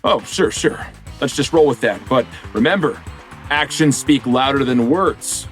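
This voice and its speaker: An actor playing a smooth-talking politician who gives interviews and campaign speeches in a game. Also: male voice, medium pitch, a little slow, a bit bright, soft background music, close to an everyday voice. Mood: rousing. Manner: rousing, oratorical. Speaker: election candidate. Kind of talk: game cutscene voice acting.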